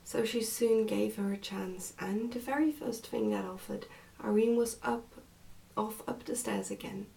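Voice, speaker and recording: A soft-spoken woman, often close to a whisper, reading a book aloud in an ASMR style. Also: medium pitch, steady tone, a little slow, vocal fry, hardly any pauses, distant mic, poor audio. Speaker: ASMR reader and host.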